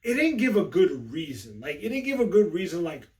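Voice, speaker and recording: Man, fairly high voice, slightly distant from the mic, casual reviewer, slangy, conversational, unscripted. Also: big pitch swings, brisk, darkish tone. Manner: conversational slangy, often checking in with listener. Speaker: movie review host.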